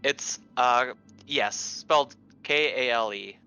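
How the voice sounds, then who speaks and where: A man's voice: medium pitch, slow pace, vocal fry, sharp tone, clear, resonant tone, faint music behind. A man playing a tabletop game, chatting casually as he introduces his character. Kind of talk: casual chat. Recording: dull lo-fi mic, faint noise.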